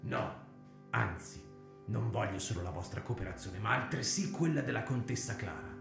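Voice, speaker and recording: A man acting a resolute, mature schemer in a radio drama, gravelly, measured and authoritative. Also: fairly low pitch, lively intonation. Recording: very echoey room, guitar music behind.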